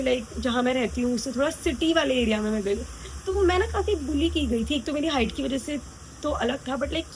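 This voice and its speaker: A woman's voice: high-pitched, slight background noise, low-quality recording. A young woman talking to camera in a casual vlog, chatty and rambling, poking fun at herself. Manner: chatty, rambling, self-mocking. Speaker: vlogger.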